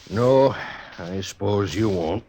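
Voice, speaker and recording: An American actor playing a lonely, resigned stage station keeper of few words in a 1950s Western radio drama. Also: male voice, fairly low pitch, takes it slowly, warm tone. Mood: guarded. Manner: laconic, plainspoken. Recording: faintly noisy old radio recording.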